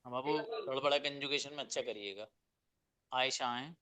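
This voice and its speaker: A man's voice: mid-range voice, fairly quick, sharp tone. A man teaching an online class, calling on students one by one and giving short, encouraging feedback. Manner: brief, encouraging, addresses students as beta and babu.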